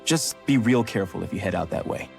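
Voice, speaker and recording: A man's voice: fairly low pitch, animated, fast talker, gravelly, darkish tone, soft background music. A man voicing a down-to-earth, helpful and cautious local miner in a video game, talking plainly and colloquially. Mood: helpful warning. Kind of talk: video game cutscene acting.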